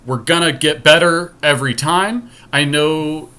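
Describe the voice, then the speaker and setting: A man's voice: fairly high pitch, unhurried, pushed and bright. A man co-hosting a podcast with casual, unscripted commentary. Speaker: podcast co-host.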